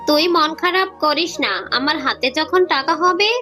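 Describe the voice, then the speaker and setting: A woman's voice: high voice, moderate pace, sharp tone, soft background music. A woman voicing a poor cartoon fox mother, patient but firm with her daughter. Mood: soothing. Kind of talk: children's cartoon voice acting.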